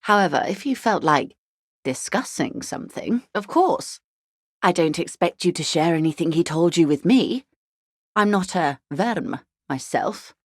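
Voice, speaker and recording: A woman playing a shrewd, wealthy older negotiator in a video game, speaking in a polished, wry, measured way. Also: lowish voice, lively intonation, no echo, clean studio sound. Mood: knowing, insinuating. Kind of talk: video game character dialogue.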